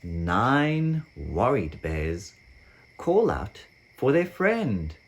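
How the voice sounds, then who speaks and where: A man reading a children's picture book aloud as narrator, with expressive sing-song, deliberate phrasing. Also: on the low side, vocal fry. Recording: faint background noise with sound effects.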